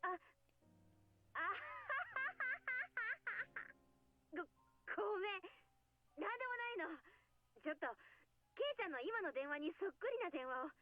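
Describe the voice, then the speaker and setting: A woman's voice: very high-pitched, sing-song, moderate pace, long pauses, dry recording. A woman playing an emotional, hesitant teenage girl in a Japanese visual novel, heard through a telephone filter. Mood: flustered, apologetic. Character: teenage girl, emotional, putting on a brave face. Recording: tinny phone-line filter, music behind.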